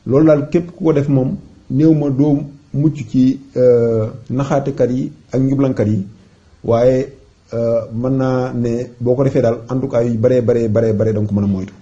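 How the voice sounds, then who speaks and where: Dark, muffled Senegalese man explaining. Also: mid-range voice, fairly even intonation, moderate pace. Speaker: presenter of an awareness tutorial. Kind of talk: informal explainer voice-over. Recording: dull, muffled lo-fi recording.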